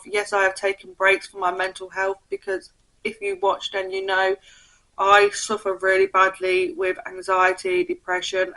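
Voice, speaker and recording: A young woman talks casually through her book haul in a bright, forward voice. She is croaky from a cold and sometimes stumbles over names as she reads. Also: medium pitch, rough recording. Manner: chatty, reads blurbs aloud, stumbles on names. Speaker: book vlogger.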